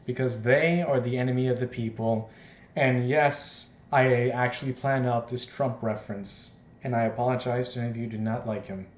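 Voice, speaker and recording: A man's voice: mid-range voice, darkish tone. A man hosting a let's play, reading a visual novel aloud and adding rambling, self-conscious joking commentary. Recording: echoey, roomy home recording.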